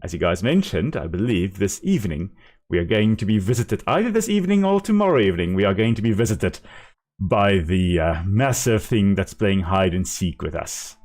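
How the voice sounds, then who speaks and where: A man's voice: on the low side, sing-song, moderate pace, darkish tone. A man with a South African accent, a native Afrikaans speaker, chatting casually and explaining as he plays a game on a live stream.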